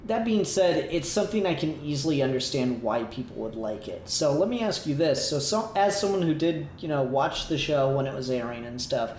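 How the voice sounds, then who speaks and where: A man's voice: on the high side, animated, brisk, hardly any pauses, a little mellow. A young man hosting a review podcast in casual conversation, emphatic and acting out his own reactions as he goes. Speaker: podcast host leading a review. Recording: roomy home recording with faint noise.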